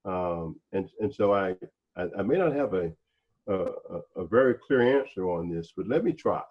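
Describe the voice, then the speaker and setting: A man speaks reflectively in a discussion, halting and deliberate, often repeating words as he searches for the next one. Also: sits low, animated, husky, lo-fi sound. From North Carolina, United States. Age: 60s. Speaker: panel speaker, former special agent.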